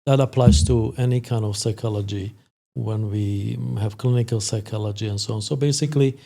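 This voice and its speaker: Male expert guest, 50s, Slovak accent, gravelly and mellow, halting delivery. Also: lowish voice, fairly even intonation. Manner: hesitant, halting phrasing. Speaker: sports psychologist, podcast guest. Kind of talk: interview conversation, explanatory.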